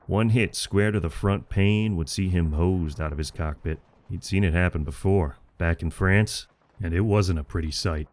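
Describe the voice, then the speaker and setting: A male narrator reads a military adventure with dramatic, scripted delivery. His voice is low-pitched, and he keeps a measured, grave storytelling manner. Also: a little mellow.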